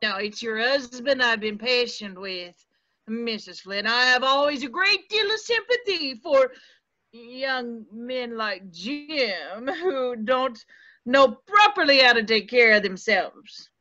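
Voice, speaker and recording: A woman's voice: on the high side, lively intonation, takes it slowly, sharp tone, full character voice. A woman playing a crotchety middle-aged landlady in a stage play table read, scolding in folksy old-fashioned dialect. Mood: coy, needling. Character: crotchety, menacing, coy middle-aged Irish-American landlady. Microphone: video call. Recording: dull video-call audio.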